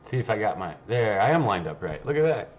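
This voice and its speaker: A man with a lowish voice hosts a casual livestream. He rambles and reads aloud in a blunt, offhand, sweary manner. Faint noise sits behind him. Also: sing-song, fairly quick. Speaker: livestream host.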